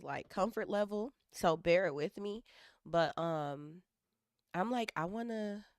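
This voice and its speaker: Young woman, low and hoarse, rambling live-stream chat, dry close sound. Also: no room sound. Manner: rambling, self-interrupting, thinking aloud. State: hoarse from allergies. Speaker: livestreaming developer.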